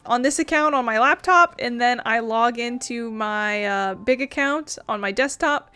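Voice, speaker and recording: Woman, game commentary, higher pitch, casual, rambling, playful. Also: moderate pace, sharp tone, clear, resonant tone. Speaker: gaming video host. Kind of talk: casual let's play commentary with dialogue read-aloud.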